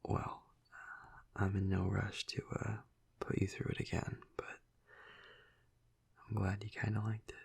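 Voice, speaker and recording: A man playing a flirty, tender vampire lover in an intimate ASMR roleplay, half-whispering in a breathy, husky voice with hesitant, teasing murmurs. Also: on the low side, completely flat delivery, a little slow, pauses often, a little mellow, full character voice. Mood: shy, tender.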